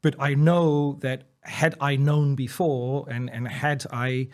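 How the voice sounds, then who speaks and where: A man's voice: medium pitch, level tone, slow. A man whose first language is Dutch, a guest in an interview, talking earnestly and encouragingly with frequent restarts and repeated words. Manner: laughs lightly between points. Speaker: interview guest, dyslexia educator. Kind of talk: casual interview conversation.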